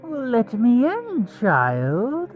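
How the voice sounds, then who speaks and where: A man's voice: extremely high pitch, huge pitch swings, phone-quality sound, pitched above the performer's own voice, heavily acted. A man voicing a creepy, menacing killer clown with mock-sweet, taunting pleading in a horror story. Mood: sinister coaxing. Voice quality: creaky, sinister. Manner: slow, drawn-out, taunting pleading. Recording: music bed behind the voice.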